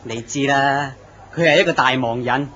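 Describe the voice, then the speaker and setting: An earnest, courteous office man, voiced by a man in an old-style horror radio play. His voice is mid-pitched and plain. The recording sounds dull and lo-fi. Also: moderate pace, sharp tone, lightly acted. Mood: polite. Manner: courteous and earnest, turns startled when threatened. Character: polite, earnest office man, a deputy manager. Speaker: actor in a horror radio drama. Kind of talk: radio drama acting.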